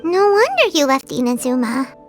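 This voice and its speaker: A woman's voice: high-pitched, sing-song, moderate pace, faint music behind. A woman voicing a tiny, childlike, chirpy fairy companion in a video game. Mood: curious.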